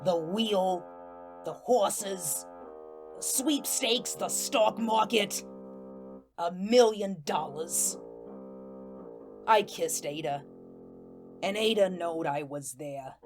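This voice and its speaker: Slow, folksy ghost storyteller, acted by a woman. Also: fairly low pitch, music in the background. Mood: wistful, aggrieved. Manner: folksy, colloquial, deliberate storytelling. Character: Gus, plainspoken vengeful ghost narrating his tale. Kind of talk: first-person audio drama narration.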